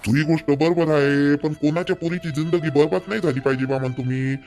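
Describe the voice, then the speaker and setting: A man's voice: a little high, steady tone, fast, darkish tone, slight background noise, close to an everyday voice. A man voicing a stern, exasperated village father in a cartoon, scolding in Vidarbha Marathi with repeated rhetorical questions. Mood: stubborn, exasperated.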